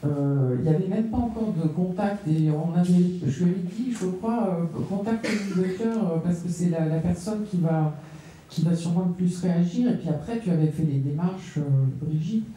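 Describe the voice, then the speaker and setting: A woman on an art panel picks her way through each thought, filling gaps with hesitations and restarts. Her voice is dark and mellow. It carries from some distance across a very echoey room. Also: lowish voice, normal speed. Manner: hesitant, many fillers and restarts. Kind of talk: round-table discussion. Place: gallery room. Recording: echoey room, far from mic.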